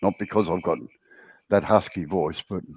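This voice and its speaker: A man's voice: deep voice, normal speed, dull audio, noisy background. An Australian man hosting a woodturning livestream, chatting casually with viewers in a laid-back, drawling way and sounding breathless. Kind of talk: casual chat with viewers and co-host.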